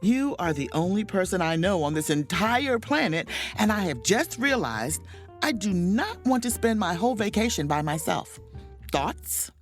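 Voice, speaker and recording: A woman's voice: mid-range voice, big pitch swings, moderate pace, faint music behind, natural voice. A woman playing a wry, awkward, overworked starship chief mate on vacation in a romantic comedy audio drama, with muttered, self-interrupting asides. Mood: flustered, flirty.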